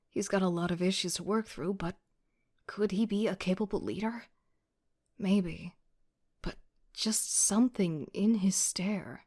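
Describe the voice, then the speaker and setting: A woman's voice: mid-range voice, darkish tone, lightly acted. A woman playing a wry, casual young student team leader who narrates an audio drama in the first person with sardonic asides. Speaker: voice actor.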